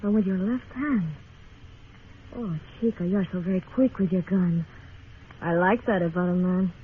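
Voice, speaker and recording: A woman's voice: lowish voice, normal speed, natural voice. An actress plays a seductive frontier schemer in a radio western. She speaks, sultry and coaxing. The vintage recording is thin and tinny, with a little noise. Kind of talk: old-time radio western acting.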